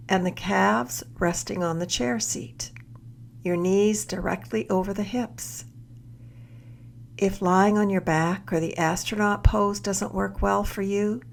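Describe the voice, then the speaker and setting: A woman leading a guided meditation as a mindfulness mentor, with gentle, measured instruction and soft, breathy phrase endings. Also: medium pitch, a little hiss or hum.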